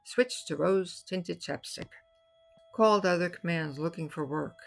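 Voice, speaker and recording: An older American woman reading spoken-word poetry in measured, short phrases. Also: on the low side, gravelly. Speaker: poet reading own work. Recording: music behind the voice. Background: music.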